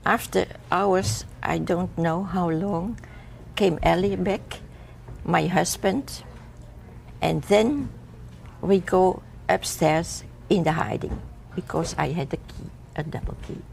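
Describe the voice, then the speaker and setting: An older woman recounting a memory haltingly in English, with foreign word order, in an interview. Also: fairly low pitch, gravelly, a little mellow. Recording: archival TV interview, faint noise.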